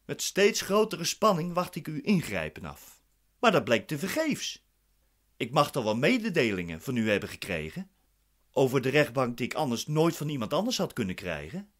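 An actor playing a dissatisfied defendant in a radio play, formal, assertive and politely cutting. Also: male voice, medium pitch, a bit bright.

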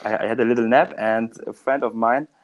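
A young man chatting casually in a calm, fluent manner as a language learner. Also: mid-range voice, quick pace, highs cut off. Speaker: language learner being tested in conversation. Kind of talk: casual chat.

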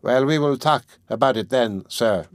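A man voicing a severe, stingy old woman pawnbroker who talks in a curt, sharp, haggling way. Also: medium pitch, moderate pace, dry recording, studio quality, close to an everyday voice.

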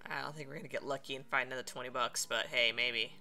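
A woman's voice: rumbling low, fairly even intonation, fast, creaky, pushed and bright, faint music behind. A woman streamer giving casual, playful game commentary, sometimes theatrical in delivery. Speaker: streamer, let's play host.

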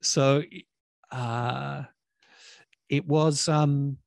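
A man in his forties explaining things conversationally in a thoughtful, hesitant way, pausing often, speaking into a close desk microphone. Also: mid-range voice, steady tone, creaky, no room sound. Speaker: guest expert on science fiction. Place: indoor room on a video call.

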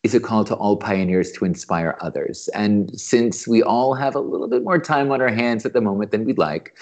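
A man's voice: on the low side, a little mellow. A middle-aged man co-hosting a podcast over a video call, reading an upbeat intro and asking questions. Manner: upbeat, presenter-like. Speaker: podcast co-host. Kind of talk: scripted intro then interview questions. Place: living room. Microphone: video call with earphones.

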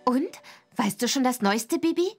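A friendly young witch girl from a children's computer game. Her fairly high voice is chatty and very animated, with music playing behind it. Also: normal speed.

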